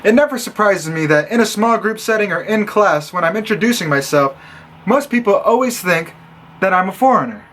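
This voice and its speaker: Young man from Illinois, college vlogger, high light voice, sarcastic storyteller who acts out others' lines. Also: animated, a little fast, fairly bright tone, slight background noise. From Illinois, USA. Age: young adult. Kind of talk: casual storytelling vlog.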